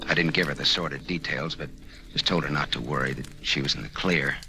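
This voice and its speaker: An actor playing a sardonic, slangy, tough private detective in an old-time radio drama. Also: male voice, rumbling low, a little fast, creaky, over music. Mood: calm narration. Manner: sardonic, slangy, hard-boiled delivery. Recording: noisy vintage radio recording.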